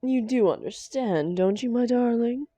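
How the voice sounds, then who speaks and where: A woman's voice: on the high side, a little slow, warm tone, no echo. A woman playing a theatrical female supervillain and doting, grumbling lover in a scripted audio roleplay. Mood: reluctant, fond. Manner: theatrical, affectionate pet names, exasperated protests.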